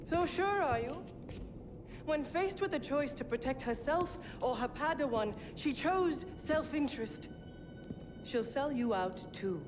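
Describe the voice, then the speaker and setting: An actress playing a bitter, manipulative villainess, a fallen warrior, with a deliberate, taunting way of talking. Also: female voice, high voice, lively intonation. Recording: loud music behind the voice.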